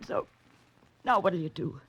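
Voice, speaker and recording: A woman's voice: lowish voice, animated, a little slow, fairly bright tone, husky, exaggerated voice. A woman playing a guarded, desperate woman in her thirties in a 1940s radio melodrama, with a dramatic delivery. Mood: anguished pleading. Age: 30s. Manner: dramatic, haughty turning to pleading. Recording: faintly noisy vintage 1940s radio recording.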